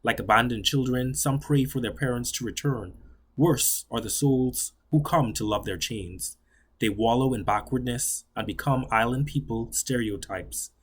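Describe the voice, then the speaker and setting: Husky man reading poetry. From Bahamas. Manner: measured, solemn delivery that slows and drops low on closing lines. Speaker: graduate student poet. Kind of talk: spoken-word poetry reading.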